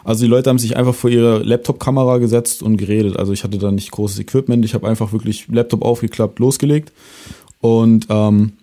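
A young man, a singer-songwriter, talking in a relaxed way with many fillers and a voice that trails off softly. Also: lowish voice, brisk. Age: young adult. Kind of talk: casual interview chat.

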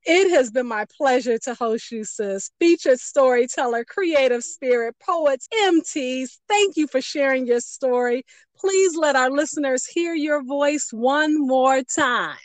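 A woman hosting a radio storytelling podcast, phrasing things expressively and deliberately. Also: high voice, no echo. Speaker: podcast host. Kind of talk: radio show hosting and sign-off.

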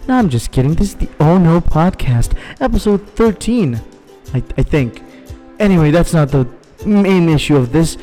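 A man's voice: on the high side, animated, moderate pace, dark tone, soft background music. A young man opening his podcast with a jokey, rambling, self-mocking intro. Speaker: podcast host.

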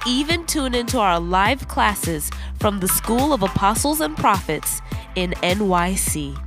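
A woman reading a scripted promo in a slow, polished announcer delivery. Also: mid-range voice, very animated. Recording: promo with loud music bed.

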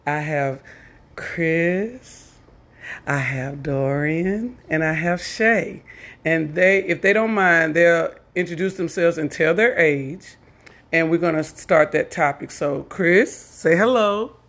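A woman's voice: low voice, fairly bright tone. Woman podcast host, upbeat, affirming, casual interview. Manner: upbeat, affirming listener with quick okays.

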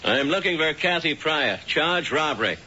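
A stern, commanding cop. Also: male voice, on the high side, animated, normal speed, sharp tone, husky, dull audio, full character voice. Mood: firm. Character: two stern middle-aged men, a doctor and a cop.

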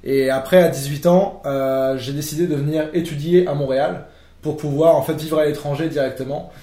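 A man's voice: on the high side, steady tone, moderate pace, mellow. A young French man, a podcast guest, explaining at length in a casual conversation with many fillers and restarts. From France. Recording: echoey room.

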